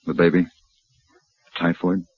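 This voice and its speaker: A man playing a calm, resolute and wryly gallant gunman in a 1950s western radio drama. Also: low voice, a little slow, breathy edge, no echo. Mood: grave, steady. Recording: muffled, noisy vintage radio recording.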